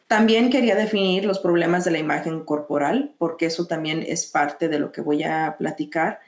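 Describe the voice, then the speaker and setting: A woman with a Mexican accent presenting a mental health lesson, measured, pausing often to restart phrases. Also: low voice, echoey room. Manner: measured, with frequent hesitations and restarts. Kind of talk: educational webinar presentation.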